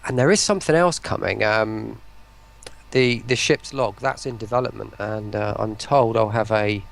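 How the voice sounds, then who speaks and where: A man speaking as an interview guest on an online call, explaining things casually in a rambling, halting way. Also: lowish voice, gravelly. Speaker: interview guest, voice pack developer. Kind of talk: casual chat, explaining a product.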